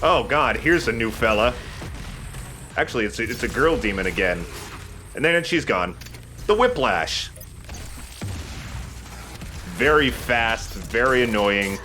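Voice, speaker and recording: Male let's play host, forceful bright tone, lively delivery, self-deprecating casual game commentary. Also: medium pitch, measured pace, pauses often, a little hiss or hum.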